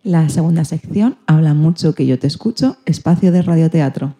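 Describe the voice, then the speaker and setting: A woman's voice: deep voice, normal speed, mellow, husky. A woman with a Spain Spanish accent hosting a community radio show from a script.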